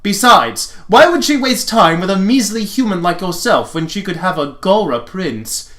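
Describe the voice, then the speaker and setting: A man's voice: high voice, animated, normal speed, bright, forceful tone, pitched above the performer's own voice. A man voicing a haughty, smitten alien prince in a dramatic fan fiction reading, pompous and formal. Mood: scornful.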